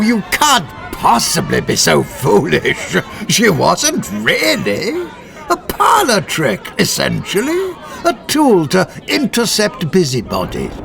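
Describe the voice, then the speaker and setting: Evil mad scientist, super creaky, swoopy pitch, pompous over the top comedy drama villain. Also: male voice, wildly swooping pitch, slow, fairly bright tone, soft background music, heavily acted. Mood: smug, condescending. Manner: pompous, florid diction, declaiming. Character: megalomaniac male mad scientist villain, grandiose and theatrical. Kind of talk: theatrical comic audio drama villain.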